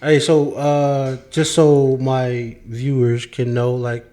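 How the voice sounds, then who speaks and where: A man hosting a casual podcast chat, upbeat and teasing, chiming in with quick replies. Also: mid-range voice, flat delivery, mellow. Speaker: podcast host.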